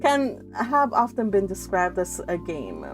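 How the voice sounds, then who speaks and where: A woman hosting a book read-along, moving between casual, chatty talk and expressive reading aloud, with informal asides. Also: medium pitch, unhurried, music in the background. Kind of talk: casual livestream chat and expressive audiobook-style reading aloud.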